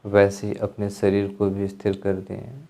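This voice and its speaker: A man giving guided meditation instruction, speaking calmly in measured phrases with pauses as an instructor. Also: on the low side, gravelly. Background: music.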